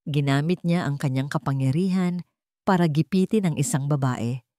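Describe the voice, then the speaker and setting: A woman with a Filipino accent voicing a determined male chief executive with calm, deliberate weight in an audio storybook drama. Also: deep voice, no echo, studio quality, lightly acted. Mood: grave, accusing.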